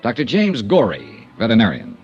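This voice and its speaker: Dry, laconic American male insurance investigator, probing and persistent, lively inflection, vintage muffled sound. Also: lowish voice, brisk, gravelly, slightly rough, faint music behind, exaggerated voice. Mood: dry narration. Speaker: lead actor and narrator. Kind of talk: 1950s radio detective drama acting. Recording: dull vintage radio recording.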